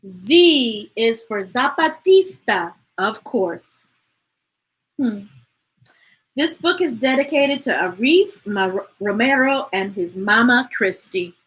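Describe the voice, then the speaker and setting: Woman, children's storytime host, high lively voice, playful emphatic read-aloud, unhurried. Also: breathy edge, poor audio. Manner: expressive, playful, emphatic reading. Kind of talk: animated read-aloud for children with chatty asides on a livestream.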